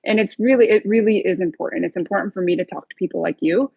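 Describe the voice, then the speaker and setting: Husky woman, hesitant and thoughtful. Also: mid-range voice, quick pace, dry recording, highs cut off. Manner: thoughtful, hesitant, searching for words. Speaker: guest chef. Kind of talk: casual interview chat.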